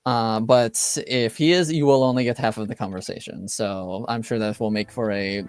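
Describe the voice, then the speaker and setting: A man voicing a calm, assured male mage in a tabletop game, measured and reassuring as he explains things. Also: on the low side, smooth, no room sound, clean studio sound, lightly acted. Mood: matter-of-fact, wry.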